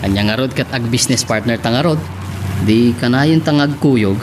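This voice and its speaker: A man's voice: medium pitch, normal speed, natural voice. A man with an Ilocano Filipino accent playing a reluctant, serious young businessman in a radio drama, on a noisy recording. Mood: reluctant, earnest. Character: reluctant, serious young businessman, quietly smitten with another woman.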